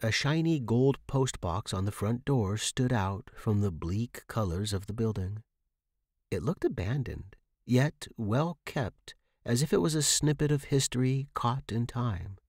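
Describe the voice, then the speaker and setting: A man's voice: mid-range voice, expressive, a little slow, a little mellow, no echo, clean studio sound. A man reading a story aloud as a scripted, dramatic fiction narration. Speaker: audiobook narrator.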